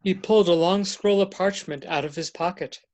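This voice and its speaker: Higher-pitched man narrating a tale. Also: a little fast, a little mellow, highs cut off. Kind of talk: storybook narration.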